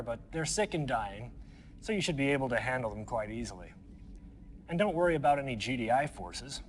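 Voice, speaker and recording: A man plays a scheming military lieutenant in a game cutscene. His mid-pitched voice swings widely as he gives orders with sardonic, theatrical condescension. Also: natural voice. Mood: smug, dismissive. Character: smug, scheming male military lieutenant of a shadowy brotherhood. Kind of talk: video game cutscene briefing acting.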